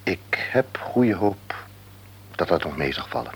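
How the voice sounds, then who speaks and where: An actor playing a frank, cautious male hospital doctor in a radio play, speaking in a measured, formal way. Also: deep voice, lively intonation, slight background noise. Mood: earnest.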